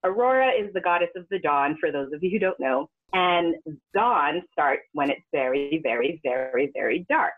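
A woman who grew up in Western Canada, telling stories as a guest performer in a casual interview, laughing often and jumping up high when she quotes people. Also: normal speed, husky. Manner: animated, laughs often, jumps into high pitch for quoted voices. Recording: phone-like narrow sound.

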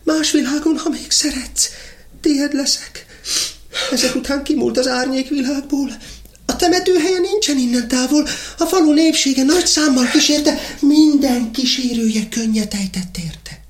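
Radio drama: actress as kindly young village wife, high voice, folksy and effusive, echoey sound. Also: female voice, natural voice. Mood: sorrowful, tender. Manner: folksy, effusive rural speech. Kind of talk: theatrical verse radio play acting.

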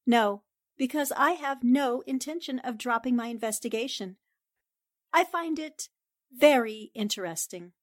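A woman's voice: on the high side, a little slow, no echo, clean studio sound. A woman playing a confident, determined newspaper sleuth in 1940s radio drama style, assertive and politely pointed.

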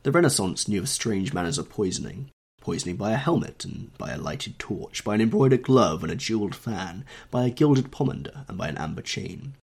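Guy with a cold reading a novel aloud, really deep, gravelly voice, slow dramatic storytelling. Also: expressive, a little mellow. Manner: measured, dramatic reading of long sentences. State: has a slight cold. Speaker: audiobook narrator, podcast host. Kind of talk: scripted literary narration.

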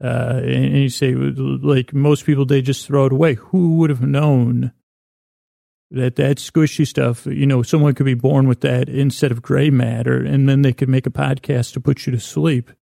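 A man hosting a bedtime podcast in a meandering, halting monologue, repeating and tripping over words, whimsical and self-deprecating, with a creaky voice. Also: medium pitch, level tone, warm tone, no echo, studio quality. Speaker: podcast host.

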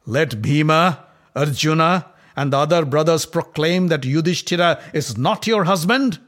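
A man's voice: on the high side, lively intonation, moderate pace, fairly bright tone, voice pushed up. A man voicing a mocking, arrogant young prince who taunts and laughs. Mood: gloating.

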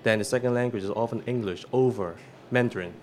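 A man's voice: medium pitch, fast. A man from Hong Kong, a native Cantonese speaker with a Hong Kong accent, answering as a guest in a conversational interview. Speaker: interview guest, activist volunteer. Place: neighborhood bar.

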